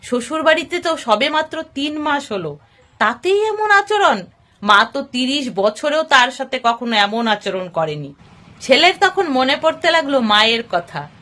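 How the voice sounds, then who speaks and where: A woman's voice: sits high, big pitch swings, unhurried, pushed and bright. A woman narrating a scripted moral folk story.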